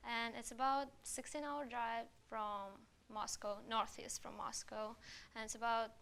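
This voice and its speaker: A woman's voice: a little high, level tone, measured pace, fairly bright tone, slight background noise. A young woman from Russia with a Russian accent, a student guest answering interview questions in a hesitant, unpolished way. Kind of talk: studio interview.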